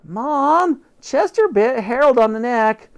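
An eager, excitable young boy calling to his parents, as a teacher puts him on. The voice is pitched well above the reader's own. It is a storybook read-aloud. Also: unhurried. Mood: alarmed. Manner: tattling, eager.

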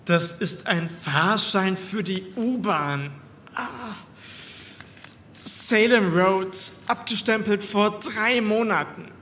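Man acting high-voiced trapped man, echoing cellar. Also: slow pace, a bit bright. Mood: pained, suspicious. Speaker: voice actor. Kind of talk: German horror audio drama acting. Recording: echoey hall reverb, faint background noise.

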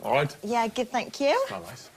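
A young man with a Welsh accent giving short, halting replies in casual chat and admitting he struggles with small talk. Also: high-pitched, huge pitch swings, a little hiss or hum. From Wales. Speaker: dating show participant. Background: music.

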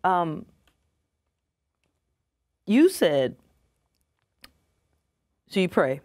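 A woman hosting a casual interview, animated and playful, laughing between lines as she asks questions. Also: lowish voice, drawn-out words. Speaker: host.

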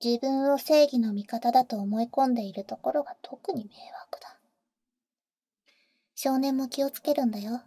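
A woman playing a teasing, protective vampire big sister in an ASMR roleplay, speaking in an intimate, soft whisper close to the mic with cool, boyish phrasing. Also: high voice, moderate pace, breathy edge, dry recording. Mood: serious, explanatory. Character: teasing, protective female vampire, older-sister type to a boy. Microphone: close microphone, dry.

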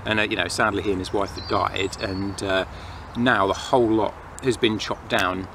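English male vlogger, lowish voice, casual reflective monologue, clear outdoor background noise. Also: fairly even intonation, moderate pace. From England. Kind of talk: casual rambling monologue to camera. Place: outdoors in a pine forest. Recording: noisy outdoor ambience, distant planes and traffic.

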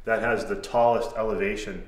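Methodical contractor man, low voice. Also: steady tone, a little fast, far from the mic. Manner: plain, methodical technical explanation. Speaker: masonry contractor. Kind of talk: explaining restoration work on a site tour. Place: large old barn and outdoor ruins. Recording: echoey barn room, faint noise.

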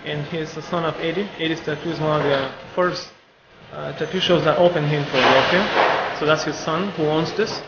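A fairly high-voiced man with a Bulgarian accent talks haltingly, stopping often as he searches for words. He is in his thirties. The recording is muffled and roomy, with steady noise from the gallery around him. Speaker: artist. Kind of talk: documentary interview. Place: art gallery, indoors.

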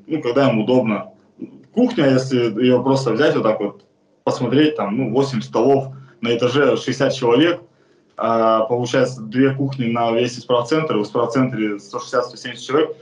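A man's voice: mid-range voice, echoey room, a little hiss or hum, low-quality recording. A man in his thirties telling his own case straight to a close camera in a bare indoor room, speaking plainly and earnestly with frequent hesitations. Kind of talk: first-person testimony to camera.

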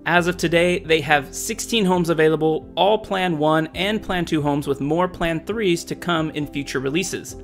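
Guy reading off home prices and incentives, steady informative delivery, bit higher pitched. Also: moderate pace, few pauses, faint music behind. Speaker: real estate agent. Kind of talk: scripted market update narration.

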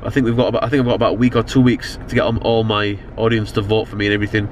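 A man's voice: fairly low pitch, little pitch movement, very fast. Young British man vlogging in a noisy car. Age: young adult. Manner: rambling, slangy, frequent "you know what I mean". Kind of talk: casual chat to camera. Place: inside a car at night. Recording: car ambience, clear background noise. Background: car and outdoor urban noise.

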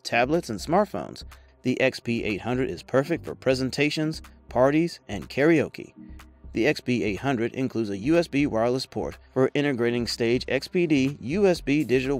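Guy doing a scripted review voiceover, medium pitch, lively intonation, salesy polished read. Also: moderate pace. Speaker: product review narrator. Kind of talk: scripted voice-over narration. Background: background music.

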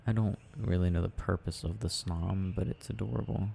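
A man with a mellow, gravelly voice rambles through a video game in an ASMR style. He muses to himself haltingly and takes his time, with slight noise behind him. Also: low-pitched, fairly even intonation. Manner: murmured, halting, musing to himself. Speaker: audio performer playing a boyfriend gaming.

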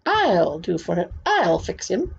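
A woman voicing a proud, bratty little boy duke with a high, whiny, mean sneer. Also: huge pitch swings, slow, creaky, husky, heavily acted. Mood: boastful. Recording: dull lo-fi home recording.

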